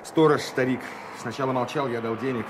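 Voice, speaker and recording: An actor playing a distraught young musketeer in love in a radio drama, speaking in anguished, broken phrases. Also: male voice, mid-range voice, fairly even intonation, fairly quick, noisy background, lightly acted. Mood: anguished, despairing.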